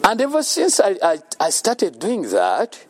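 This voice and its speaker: Slow, lively-voiced man. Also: high-pitched. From Uganda. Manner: earnest, laughs often between points. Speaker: speaker at a children's home. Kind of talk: faith-based motivational talk.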